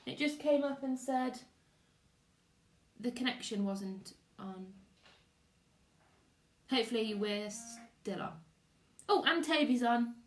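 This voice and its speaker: A woman's voice: fairly high pitch, slow, long pauses. Woman reading aloud in an echoey room. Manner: expressive storytelling with character voices. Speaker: teacher reading to pupils online. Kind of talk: live read-aloud of a children's novel with chat to listeners.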